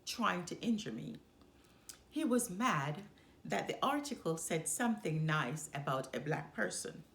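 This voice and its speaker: A woman reading a children's picture book aloud as a storyteller, with deliberate phrasing and dramatic emphasis. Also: medium pitch. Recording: roomy, echoey sound.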